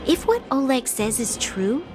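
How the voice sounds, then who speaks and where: A woman's voice: high-pitched, big pitch swings, unhurried. A woman playing an earnest, dutiful young military officer in a video game, speaking formally with reserved precision. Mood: composed, resolute. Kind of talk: video game character acting. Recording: background music under the voice.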